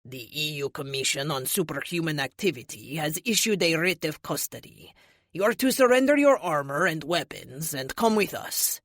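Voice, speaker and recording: Woman playing a pompous superhero leader, stern and proclaiming, lively pitch, dry. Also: moderate pace, no echo. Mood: officious. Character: pompous, self-righteous male superhero leader.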